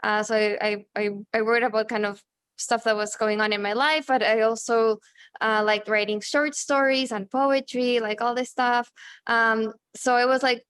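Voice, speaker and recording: A woman in her thirties from Chile, a native Spanish speaker, talking casually as an interview guest, halting with many fillers and restarts. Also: fairly high pitch, fairly even intonation, a bit bright, clean tone. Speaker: guest, journalist and author.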